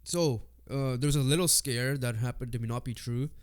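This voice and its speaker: A congested man co-hosting a casual sports podcast, reading off lists with lots of fillers. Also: mid-range voice. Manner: reads off lists haltingly with fillers. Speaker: podcast co-host.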